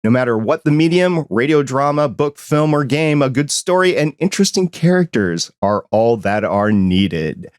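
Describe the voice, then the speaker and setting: A man hosts a hobby podcast in an upbeat, newsy way, running through announcements before chatting with his guest. His voice sits at a middle pitch. It is recorded close and dry, with no room sound. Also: expressive, normal speed, hardly any pauses, darkish tone, smooth, no echo. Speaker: podcast host. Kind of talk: casual podcast intro and interview.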